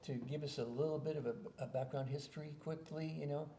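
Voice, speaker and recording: Male host, gravelly and warm, roomy home echo, faint background hum. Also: fairly high pitch, steady tone, quick pace. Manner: rambling, hesitant questions with many fillers. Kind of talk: casual interview at home. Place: home after dinner.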